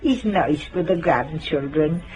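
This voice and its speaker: A woman's voice: deep voice, animated, a little slow, darkish tone, low-quality recording. An actress playing a fond, plain-speaking grandmother in a naturalistic radio drama. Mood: fond, frank. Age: older.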